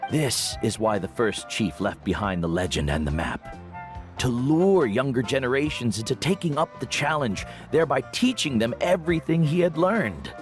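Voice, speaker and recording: An actor playing a veteran guide and teacher who speaks in a measured, reflective way in game dialogue. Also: male voice, medium pitch, expressive, natural voice. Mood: steady. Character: older male guide mentor, calm and wise. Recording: game audio with faint music.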